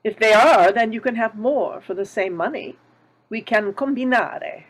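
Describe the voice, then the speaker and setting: A woman's voice: on the high side, normal speed, natural voice, rough recording. Woman playing a shrewd old landlady. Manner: probing, haughty. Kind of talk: table read.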